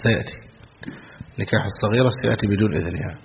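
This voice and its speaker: A man's voice: lowish voice, very fast, creaky. A man from Egypt giving a religious lesson as a scholar, explaining legal points didactically and repeating phrases for emphasis. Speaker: Islamic scholar teaching a class. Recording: dull, noisy lo-fi classroom recording with clicks.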